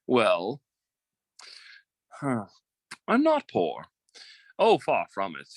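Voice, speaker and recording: A man playing a smooth-talking, scheming suitor in an old-time radio melodrama, with a suave, theatrical and formal manner. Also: medium pitch, huge pitch swings, very slow, no room sound, clean studio sound, heavily acted. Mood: calculating.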